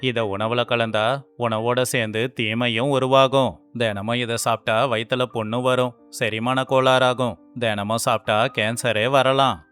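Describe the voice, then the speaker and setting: A man's voice: medium pitch, level tone, normal speed, fairly bright tone, clean tone, faint music behind, natural voice. A man playing a grave, knowing village doctor in a moral story, explaining and warning. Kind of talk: moral story character acting.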